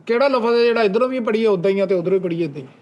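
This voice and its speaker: A man's voice: high voice, racing, darkish tone, muffled recording. A man speaking casually as part of a group on a talk show panel.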